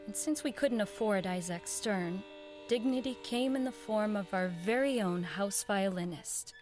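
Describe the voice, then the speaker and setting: A woman's voice: medium pitch, measured pace, music in the background, natural voice. An actress playing an idealistic, determined woman who runs a 1940s radio network, speaking in an earnest, dignified, persuasive way in an audio drama. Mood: reflective narration. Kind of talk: audio drama acting, dialogue and wistful first-person narration.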